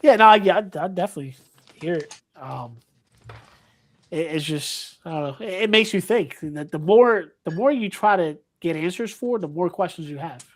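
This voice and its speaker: A man's voice: sits high, expressive, normal speed. A man from Puerto Rico, a podcast guest, talking casually and hesitantly, with many restarts and ums. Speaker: guest, paranormal investigator. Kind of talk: casual chat.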